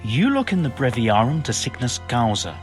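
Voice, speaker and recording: An actor playing a learned, pompous but well-meaning and absent-minded monk physician in a video game, speaking in wordy, formal phrases. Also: male voice, mid-range voice, very animated, moderate pace, soft background music, close to an everyday voice. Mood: scholarly, brisk. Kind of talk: video game cutscene acting.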